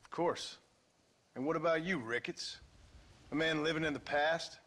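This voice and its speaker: An actor playing a gruff, sardonic American gunslinger in a Western video game, blunt, dry and impatient. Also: male voice, a little high, normal speed, fairly bright tone. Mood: challenging. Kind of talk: video game cutscene acting.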